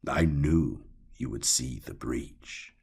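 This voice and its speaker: A man acts out a mocking, suave god in human shape for a horror audiobook. The voice is extremely low and rasping, often sinking to a whisper. Words are drawn out slowly with false gentility. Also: a little mellow, heavily acted. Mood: smug, welcoming. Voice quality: gravelly, whispery. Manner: slow, drawn-out, mockingly genteel. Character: suave inhuman male god in handsome human form, mocking. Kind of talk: audiobook villain acting.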